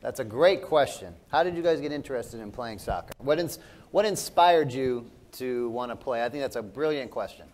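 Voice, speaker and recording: A middle-aged man hosts a question session for children with an upbeat, encouraging manner. His voice sits at an ordinary middle pitch. He keeps repeating and rephrasing the kids' questions for the room. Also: animated, normal speed, a little mellow. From Chicago. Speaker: event moderator. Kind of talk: hosting a Q&A for children.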